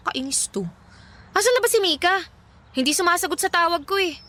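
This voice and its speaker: Brash young Filipino woman best friend, high-pitched and very animated, for radio drama. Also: a little fast, full character voice. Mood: playful, miffed. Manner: sassy, exclamatory, mock-exasperated. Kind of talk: Tagalog radio drama acting.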